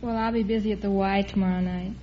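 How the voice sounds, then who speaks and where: A woman's voice: mid-range voice, steady tone, fast talker. A nineteen-year-old woman with an American accent, giving short answers as a quiz show contestant. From Los Angeles, United States. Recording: dull, noisy old radio recording, audience behind.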